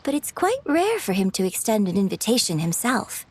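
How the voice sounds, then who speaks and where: Refined, gently teasing young lady. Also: medium pitch, big pitch swings, normal speed, slightly rough. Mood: gently persuasive. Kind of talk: video game character dialogue.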